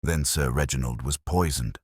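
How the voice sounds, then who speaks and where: Earnest doctor played by a male narrator, very low voice, inquiring, loyal sidekick. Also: normal speed, husky, no echo, clean studio sound. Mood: earnest, questioning.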